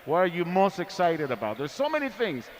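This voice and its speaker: A man's voice: sits high, huge pitch swings, brisk, a little hiss or hum. A man hosting a live sports interview at an arena, effusive and given to repeating words for emphasis. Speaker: sports broadcast host. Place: large indoor arena, live event.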